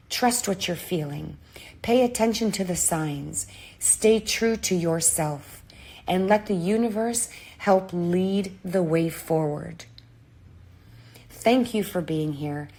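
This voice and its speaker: A woman speaks in a low, hoarse voice, her words coming slowly and deliberately. She offers spiritual guidance in a measured, reassuring tone. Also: darkish tone. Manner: slow, measured, reassuring advice. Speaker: spiritual self-help vlogger.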